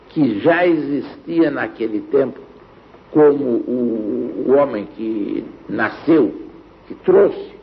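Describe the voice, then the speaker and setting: An older Brazilian man reminiscing in a halting, deliberate way, pausing mid-phrase. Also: medium pitch, gravelly. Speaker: interviewee, radio pioneer. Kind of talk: spoken reminiscence. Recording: dull archival interview with background noise.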